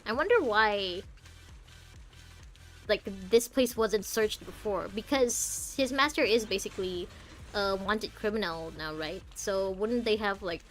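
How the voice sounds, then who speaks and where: A woman gives casual playthrough commentary as a game streamer. She rambles and thinks aloud at a medium pitch, with faint music behind her. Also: a little slow, a bit bright.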